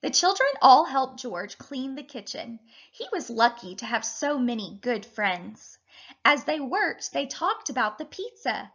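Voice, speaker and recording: High-pitched woman reading to children. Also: slightly rough, muffled recording. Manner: animated, deliberate, addressing children directly. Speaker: teacher reading a picture book aloud to children. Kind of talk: expressive children's read-aloud and lesson.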